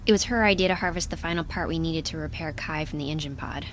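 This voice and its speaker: A woman playing a brisk, wry crew scientist in a naturalistic audio drama, talking casually in a dry, no-nonsense way. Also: low voice, slight background noise, close to an everyday voice. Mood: brisk, matter-of-fact.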